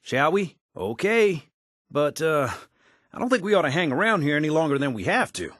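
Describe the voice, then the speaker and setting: An actor playing a folksy, wisecracking American tinkerer in a video game, talking in casual slang. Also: male voice, on the high side, lively intonation, moderate pace, a bit bright, no room sound, studio quality. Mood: helpful, easygoing. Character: folksy, wisecracking male tinkerer and scrappy settler ally.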